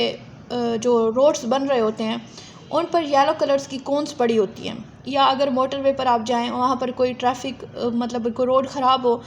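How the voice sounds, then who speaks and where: High-pitched Pakistani woman lecturing on a noisy recording. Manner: didactic, often asks and answers own questions. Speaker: law lecturer. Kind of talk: explanatory lecture, reading provisions aloud and explaining them.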